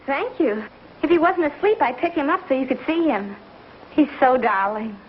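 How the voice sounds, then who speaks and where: A woman's voice: sits high, animated, normal speed, slight background noise. An actress playing a refined, sensitive young frontier wife and mother in a 1950s television western. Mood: polite, gentle. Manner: refined, ladylike. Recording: muffled 1950s TV soundtrack, music behind.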